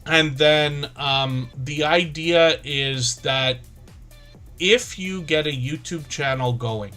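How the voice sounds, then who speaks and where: A man's voice: fairly high pitch, slow, sharp tone, soft background music. A man hosting a livestream for small creators, talking casually and at length, with many fillers and self-corrections. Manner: rambling, filler-heavy, restarts and self-corrections.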